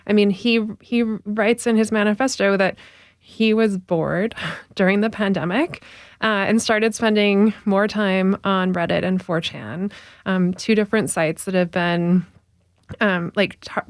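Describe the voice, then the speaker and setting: A woman's voice: mid-range voice, dry recording, studio quality. A woman professor and researcher, a guest on a radio talk show, speaking analytically with frequent fillers. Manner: animated, analytical, frequent fillers, laughing asides. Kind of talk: radio interview.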